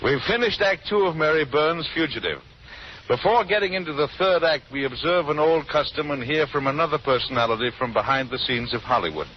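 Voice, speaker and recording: A man's voice: a little high, lively intonation, a little fast. A man hosting an old radio show, giving a formal scripted introduction. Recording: noisy dull old radio recording.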